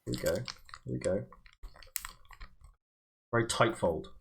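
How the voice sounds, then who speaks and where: A man's voice: mid-range voice, measured pace. A man hosting a charity livestream, chatting casually and muttering to himself as he follows craft instructions. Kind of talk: casual chat while folding origami and reading instructions. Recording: clear background noise.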